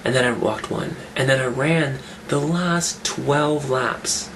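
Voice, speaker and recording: A man talking casually in a vlog, rambling and restarting his sentences, his voice rough from a cold. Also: medium pitch, slow, background noise. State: getting over a cold, rough voice. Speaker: fitness vlogger. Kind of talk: casual weekly update vlog.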